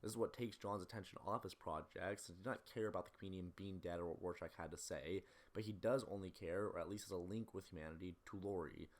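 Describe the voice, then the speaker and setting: Deep-voiced, quick-talking male video essayist. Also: soft background music. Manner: critical, opinionated. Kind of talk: scripted film commentary.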